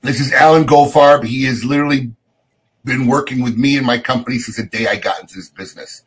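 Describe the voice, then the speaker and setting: A man's voice: medium pitch, quick pace, poor audio. A man hosting a talk radio show, chatting casually and hyping the next segment. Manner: upbeat, promo-style sign-offs.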